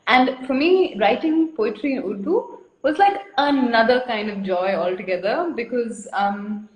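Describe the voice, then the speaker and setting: A woman's voice: on the high side, animated, unhurried, dull audio. Frank, rambling woman in an echoey room. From India. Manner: rambling, frank, laughs often. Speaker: guest artist. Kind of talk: casual interview chat.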